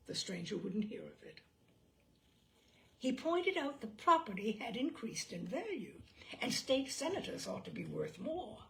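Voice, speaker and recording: A woman's voice: a little high, creaky. A woman narrating a literary short story aloud in a dramatic storytelling style.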